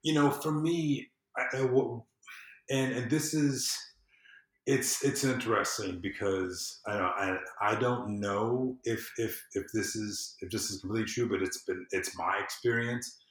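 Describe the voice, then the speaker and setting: Male podcast guest, low register, conversational and rambling with repeated phrases, recorded in a reverberant room. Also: fairly even intonation, measured pace. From southern United States. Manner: rambling, repeats phrases, explains through acted-out everyday examples. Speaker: podcast guest, civic engagement consultant. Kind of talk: casual conversational interview. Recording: roomy, echoey sound.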